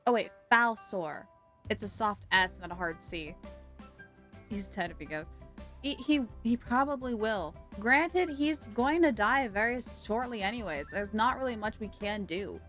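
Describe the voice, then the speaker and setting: A woman livestreaming a game with casual, playful, expressive commentary for her viewers. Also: mid-range voice, a little slow. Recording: game music behind the voice.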